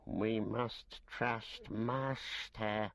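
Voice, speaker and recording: A man's voice: mid-range voice, wildly swooping pitch, drawn-out words, creaky, dry recording, pitched above the performer's own voice, full character voice. A man voicing a wretched, grasping male creature who hisses, whispers and mutters to himself in a broken, wheedling way. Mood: suspicious. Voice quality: hissing, whispery, swooping. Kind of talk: audiobook character voice.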